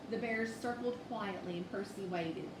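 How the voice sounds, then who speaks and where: A woman reading a children's bedtime story aloud with expressive, measured delivery. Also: mid-range voice. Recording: echoey room, distant mic, noisy background.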